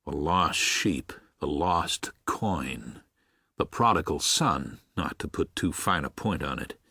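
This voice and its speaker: An actor playing an aging small-town reverend, reflective and self-doubting, speaking pensively with wry hesitations in a literary radio drama. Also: male voice, very deep voice, lively intonation, takes it slowly, bright, forceful tone, husky, heavily acted.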